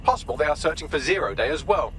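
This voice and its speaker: A wry, talkative male AI assistant from a video game. His voice is bright and very animated, and he delivers analysis with dry sarcasm. There is faint noise behind him. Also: a little high, very fast. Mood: briskly analytical. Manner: wry, sardonic, verbose.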